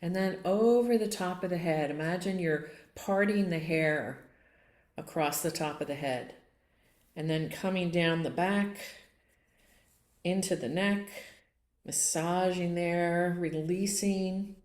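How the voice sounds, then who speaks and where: A woman leading a guided relaxation as a yoga nidra teacher, gently and without hurry, inviting listeners along. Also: fairly low pitch, slow pace. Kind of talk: guided meditation and relaxation instruction. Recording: roomy, echoing sound.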